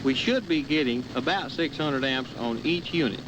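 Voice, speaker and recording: A man's voice: medium pitch, moderate pace. A man, a railroad foreman, giving scripted step-by-step instructional narration in an explanatory manner. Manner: procedural, explanatory. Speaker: railroad road foreman of engines. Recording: faint vehicle noise behind.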